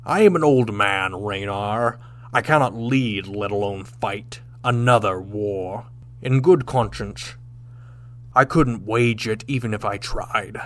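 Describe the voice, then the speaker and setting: An actor playing a proud, stern old Klingon warrior captain in a sci-fi audio drama, formal and declamatory in manner. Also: male voice, on the low side, slow pace, fairly bright tone. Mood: weary pride.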